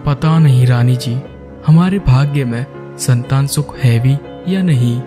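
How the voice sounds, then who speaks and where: A man's voice: medium pitch, a little slow, natural voice. An ageing king from a cartoon fable, acted by a man, formal and courteous with a worried dignity. His mid-range voice sits over prominent instrumental music. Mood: worried, pleading. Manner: formal, stately storybook delivery. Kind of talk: cartoon moral-story voice acting. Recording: music under the voice.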